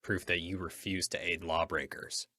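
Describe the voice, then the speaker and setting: A man plays a righteous masked vigilante in an old-time radio drama. The voice is very deep and intensely forceful, with a dry sound. Every statement lands as a stern command. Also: little pitch movement, moderate pace, no room sound. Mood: resolute.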